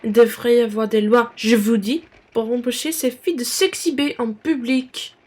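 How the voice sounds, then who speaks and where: A woman playing a haughty, spiteful bourgeois wife with a scornful, sharp-tongued manner in a French radio play. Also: a little high, fairly even intonation, normal speed, husky.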